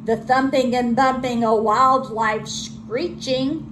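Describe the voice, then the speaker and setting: A woman teacher reading a children's storybook aloud with expressive delivery. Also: on the high side, takes it slowly, noisy background.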